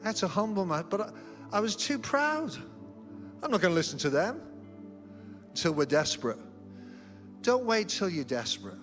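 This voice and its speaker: A man's voice: high-pitched, big pitch swings, normal speed, pauses often, a bit bright, faint music behind. A middle-aged man preaching a sermon as senior pastor in a church, telling humorous, self-deprecating stories. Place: church auditorium.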